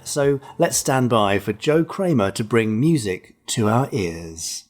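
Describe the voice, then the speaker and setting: A man's voice: mid-range voice, animated, takes it slowly, darkish tone. A man hosting a podcast, chatting and interviewing casually in a jokey, teasing way.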